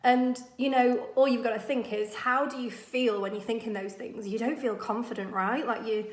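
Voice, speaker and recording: A woman with a somewhat high voice, husky after a cold, talks straight to her viewers as a coach. She is chatty and encouraging. The room around her rings with echo. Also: fairly even intonation, normal speed, slight background noise. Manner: chatty and encouraging, addresses viewers warmly as "my loves". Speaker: confidence coach. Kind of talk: casual direct-to-camera advice.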